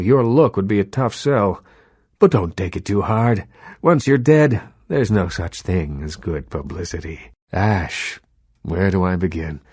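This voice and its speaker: An actor playing an imperious old vampire baron and Hollywood mogul in a video game, speaking in a measured, eloquent, condescending way. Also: male voice, fairly low pitch, a little mellow, no echo, clean studio sound. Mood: wry, nostalgic. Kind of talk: video game character dialogue.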